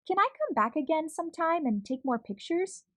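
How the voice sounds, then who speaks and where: A woman doing an eager little girl, high voice. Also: brisk, exaggerated voice. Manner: breathless excited questions.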